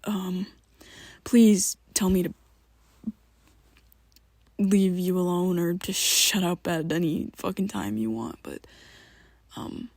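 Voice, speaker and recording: Hesitant, husky young-sounding woman, whispery. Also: on the low side, dry recording. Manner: hesitant and rambling, halting restarts; soft, often drops to a whisper. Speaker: ASMR roleplay creator. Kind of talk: ASMR roleplay, speaking to the listener as a best friend.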